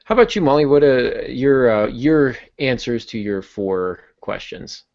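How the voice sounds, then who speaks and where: A man hosting a podcast, explaining things conversationally in a halting way, as if thinking aloud. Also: mid-range voice, lively intonation, gravelly, a little mellow, no room sound. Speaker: podcast host. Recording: dull lo-fi podcast audio.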